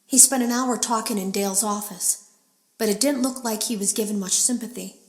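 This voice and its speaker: An echoey room surrounds an uneasy female game tester played by an actress. Her mid-range voice tells its story in a measured, confessional way, like secret audio logs in a horror video game. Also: medium pitch, close to an everyday voice. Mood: somber, troubled. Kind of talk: scripted audio log monologue in a horror game.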